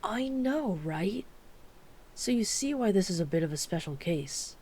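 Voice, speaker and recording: Woman voicing a mellow, wry female mafia boss. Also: mid-range voice, lively intonation, unhurried. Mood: indignant. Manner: wry, exasperated, chatty, teasing. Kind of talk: ASMR roleplay audio drama.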